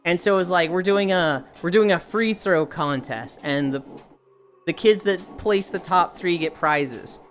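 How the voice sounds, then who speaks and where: A woman's voice: lowish voice, a little slow, soft background music. A woman streaming casually, rambling through stories, swearing and laughing easily. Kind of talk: casual chat while streaming.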